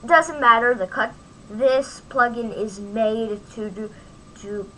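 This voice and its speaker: A woman giving a casual, off-the-cuff software tutorial, thinking aloud as she demonstrates on a home microphone recording. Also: on the high side, fairly even intonation, slow pace, slight background noise, low-quality recording. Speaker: tutorial host.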